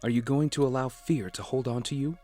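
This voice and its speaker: An actor playing a gentle, wise, steady father in an audio drama, speaking in a measured, reassuring way. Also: mid-range voice, close to an everyday voice. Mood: calm, instructive. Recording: music under the voice.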